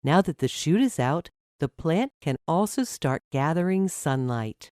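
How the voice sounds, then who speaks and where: A woman voicing a patient, encouraging mother who explains things gently to her child in a storybook. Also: sits low, sing-song, measured pace, dry recording. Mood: patient, explanatory.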